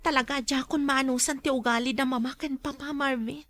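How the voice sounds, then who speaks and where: A woman's voice: sits high, steady tone, brisk, a bit bright, dry recording. An actress playing a kind young woman, daughter of a rich family, in an earnest, pleading radio drama manner. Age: young adult. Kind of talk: Ilocano radio drama acting.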